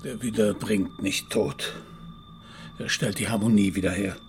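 An actor playing an arrogant, fanatical killer in a radio drama, speaking in a pompous, contemptuous and formal manner. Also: male voice, fairly low pitch, fairly even intonation, unhurried, noisy background, close to an everyday voice. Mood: fanatical disgust. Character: arrogant, fanatical male killer obsessed with order and power.

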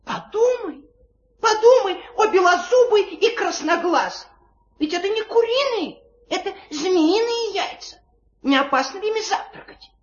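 Very shrill, excitable little bird. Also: female voice, a little slow. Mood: anxious, dithering. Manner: gushing, theatrical, singsong. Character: excitable, silly little male songbird, pompously flattering. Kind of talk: Soviet audio fairy-tale acting on record. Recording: roomy, muffled old vinyl record.

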